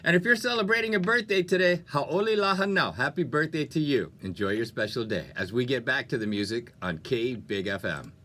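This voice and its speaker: A male radio host with a bright, punchy voice at a medium pitch. His intonation swings widely as he moves between upbeat station patter and casual, playful asides. He sounds chatty and jokey, like a DJ talking between songs. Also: expressive, a little fast, hardly any pauses. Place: radio studio.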